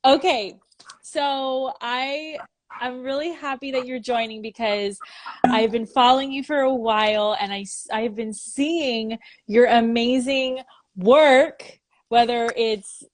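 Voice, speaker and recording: A woman's voice: on the high side, fairly even intonation, slow. A woman hosting a casual live-stream interview, upbeat and encouraging, laughing often. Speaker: host. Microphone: phone in a live video call.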